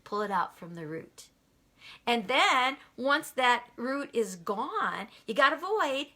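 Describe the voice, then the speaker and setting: A woman's voice: a little high, very animated, slow pace, pushed and bright. A woman giving a motivational talk, emphatic and repeating key lines for effect. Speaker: motivational speaker.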